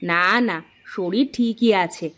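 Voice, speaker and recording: A woman's voice: mid-range voice, slow, a bit bright. A woman playing a practical, nagging village wife and mother in a cartoon story, with a questioning, mildly scolding manner. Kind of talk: cartoon moral-story dialogue.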